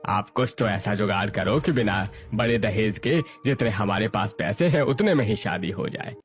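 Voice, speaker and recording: A man's voice: on the low side, moderate pace, natural voice. Humble, anxious father of the bride played by a man, family moral-story drama, light music bed. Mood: pleading. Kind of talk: acted Hindi family story dialogue.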